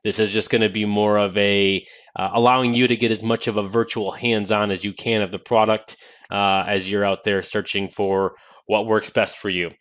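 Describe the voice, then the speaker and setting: A man in his thirties hosting a casual tech unboxing in a halting, conversational way, speaking into a Bluetooth headset in a small office. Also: lowish voice, level tone, a bit bright. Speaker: tech video host demonstrating a headset. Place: small home office, indoors. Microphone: single-ear Bluetooth headset. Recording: dull narrow-band Bluetooth headset sound.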